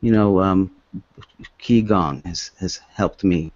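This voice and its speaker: A man in his fifties who grew up in Hong Kong, giving an earnest, halting presentation as an author with many restarts. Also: low-pitched, darkish tone, dry recording. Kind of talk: presentation with slides. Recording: dull, dry lo-fi recording.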